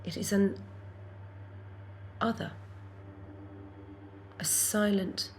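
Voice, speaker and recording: A woman playing a thoughtful, tender hero in a dramatic reading of a philosophical futuristic story, speaking contemplatively with pauses mid-phrase. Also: mid-range voice, little pitch movement, a little hiss or hum. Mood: hushed reverence.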